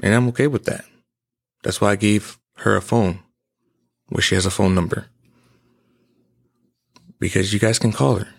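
Gravelly, husky man in his twenties. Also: fairly low pitch, steady tone, moderate pace, pauses often. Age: 20s. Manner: preachy, emphatic, repetitive. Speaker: podcast host. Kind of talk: impassioned personal monologue.

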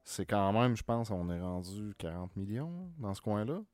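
A man from Quebec with a Québécois French accent, co-hosting a podcast chat and telling animated, profanity-laced stories. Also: lowish voice, normal speed, no room sound. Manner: animated storyteller, swears freely. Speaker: podcast co-host. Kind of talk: casual chat.

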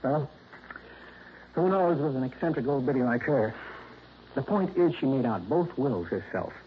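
An actor playing an earnest, troubled insurance claims man in a 1960s radio drama, hesitant and quick to protest. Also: medium pitch, lively intonation, slight background noise, natural voice. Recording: dull lo-fi vintage radio broadcast.

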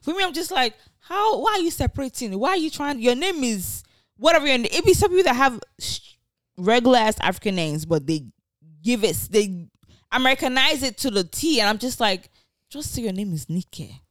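Blunt, animated woman from Nigeria. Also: a little high, a little fast, no echo. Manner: blunt, animated, swears freely. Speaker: podcast co-host. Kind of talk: casual chat.

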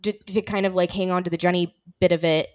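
Low-pitched woman in casual film chat. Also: steady tone, quick pace. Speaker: podcast host discussing a film.

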